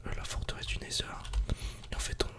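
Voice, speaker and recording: A man whispers a calm, casual ASMR game commentary in a breathy, unvoiced murmur, close to the microphone. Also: quick pace. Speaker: ASMR gaming video creator.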